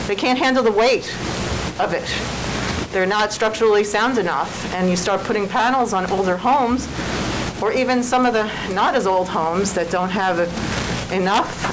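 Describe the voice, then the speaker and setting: A woman speaking as a resident at public comment in a township meeting, blunt, indignant and emphatic. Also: medium pitch. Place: indoor township meeting room. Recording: clearly noisy public meeting room recording.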